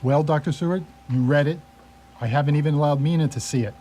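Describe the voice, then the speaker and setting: A man's voice: on the high side, quick pace, mellow, slight background noise, lightly acted. A man playing a tormented, nervous young husband in a radio play, hesitant and brooding. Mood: agitated. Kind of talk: radio theatre acting.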